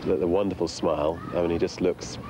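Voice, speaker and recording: A man's voice: sits low, steady tone, quick pace, background noise. A man in an unscripted interview, talking hesitantly with stammered repetitions and frequent filler phrases. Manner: hesitant, stammering repetitions, many "you know"s. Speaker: interviewee, friend of the documentary's subject.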